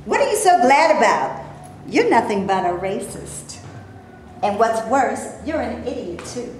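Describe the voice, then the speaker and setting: A woman reading the part of a proud, sharp-tongued actress in a staged play reading, answering with cutting, exasperated retorts. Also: fairly high pitch, sing-song, unhurried. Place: theater stage before a live audience. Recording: very echoey stage, faint room noise.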